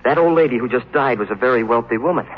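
A man's voice: mid-range voice, fast talker, close to an everyday voice. A man playing a jittery, paranoid young man. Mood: hushed. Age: young adult. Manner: urgent, rising to near-shouts when frightened. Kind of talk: 1930s radio drama acting. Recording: muffled old radio broadcast.

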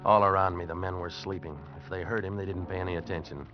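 A man's voice: sits low, fairly even intonation, fast talker, music in the background, natural voice. An actor playing a desperate American man on the run, telling and acting out his ordeal intensely in an old radio drama. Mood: grim narrating. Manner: intense, dramatic, agitated pleading. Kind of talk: 1940s-50s radio drama narration and acting. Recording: muffled old radio recording.

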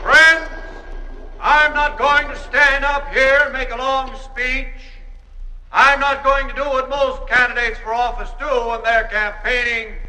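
Oratorical candidate, old-time radio, distant loudspeaker echo. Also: male voice, very high voice, little pitch movement, measured pace, exaggerated voice. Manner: oratorical, speechmaking. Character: smooth male political candidate at a rally. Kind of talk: 1940s radio acting. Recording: far-off echoey loudspeaker sound.

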